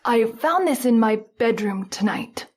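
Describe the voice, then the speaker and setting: An actress as an anxious, high-voiced mystery woman. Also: expressive, unhurried, breathy edge, full character voice. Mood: nervous, deferential. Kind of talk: old-time radio drama acting.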